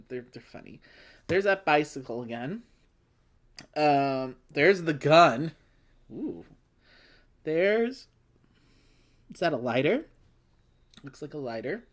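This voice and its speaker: A woman hosting a board game livestream, chatting casually with playful, wry asides and sounding slightly phlegmy. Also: deep voice. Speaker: host of a board game play-along livestream. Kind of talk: casual chat with read-aloud game text.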